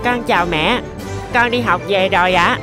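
An actor playing a playful, mischievous little boy elephant in a children's cartoon, with a childlike, expressive delivery. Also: mid-range voice, normal speed, lightly acted. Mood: polite, sweet. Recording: music bed behind the voice.

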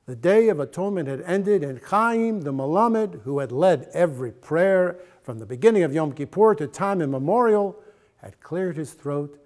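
A man in his sixties reads a story aloud in a theatrical style. His voice sits high for a man and moves very widely in pitch. He leans hard on key words and uses dramatic pauses. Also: darkish tone. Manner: emphatic, dramatic pauses and repetitions. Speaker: actor reading a short story aloud. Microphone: lapel mic.

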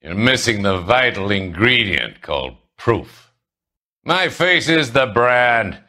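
An actor playing a condescending middle-aged American property tycoon in a 1940s crime video game, smooth-talking and self-assured. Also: male voice, medium pitch, pushed and bright.

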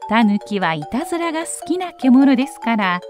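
A woman narrating a children's story in an expressive storytelling style. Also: on the high side, normal speed, faint music behind.

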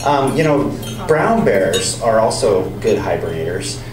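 Brief: lower-voiced male scientist, relaxed lecturer, recorded from far off in a noisy, echoey pub. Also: measured pace. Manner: relaxed, explanatory, with some restarts. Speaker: scientist giving a public talk. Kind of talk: lecture and audience Q&A. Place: bar or pub venue with an audience. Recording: echoey, distant, noisy room.

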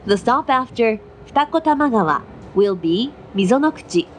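Slow, measured woman train announcer. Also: a little high, lively intonation. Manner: formal, measured. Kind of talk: scripted railway announcement. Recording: noisy onboard train recording. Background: train running noise.

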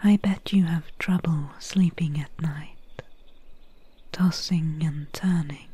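Woman acting as a mysterious swamp witch, ASMR roleplay, breathy whisper, extremely slow, calm, close-miked. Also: lowish voice, exaggerated voice. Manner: slow, hushed, unhurried. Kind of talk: soft-spoken ASMR roleplay. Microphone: close mic.

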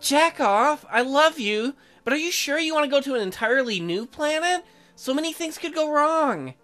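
Visual novel fiancée played by a man: young woman, high, bright, incredulous and loving. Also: expressive, normal speed, soft background music, exaggerated voice. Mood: worried. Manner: hesitant, incredulous.

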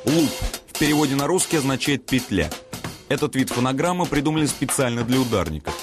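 Male narrator, mid-pitched, steady scripted documentary read, music bed underneath. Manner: measured, explanatory.

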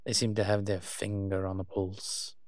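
A man playing a wry, cautious vampire father in an audio roleplay, speaking in a measured, musing way to the listener. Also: fairly low pitch, flat delivery, no room sound, studio quality, close to an everyday voice. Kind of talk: scripted audio roleplay acting addressed to the listener.

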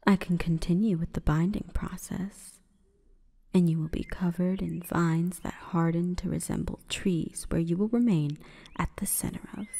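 A woman voicing a seductive, solemn female plant spirit in a breathy, formal, commanding whisper for an ASMR roleplay. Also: lowish voice, warm tone. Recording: long reverberant echo.

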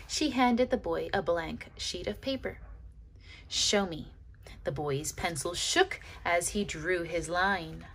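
A woman reading a children's picture book aloud expressively, with dramatic pauses, as if to young listeners. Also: on the low side, a bit bright, slight background noise.

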